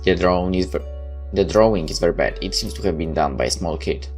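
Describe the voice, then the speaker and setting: A man's voice: sits low, a little fast, a little mellow. A man doing a let's play, reading a visual novel aloud with wry, joking asides and a dramatic delivery that sometimes stumbles. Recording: music behind the voice. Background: game music with guitar.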